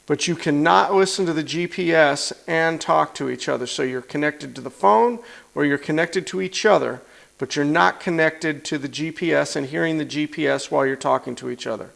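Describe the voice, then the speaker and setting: A man's voice: on the high side, a little fast, gravelly, a bit bright. A man talking through a casual hands-on product review in a plain-spoken, blunt way, demonstrating as he goes. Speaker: product reviewer, motorcycle channel host.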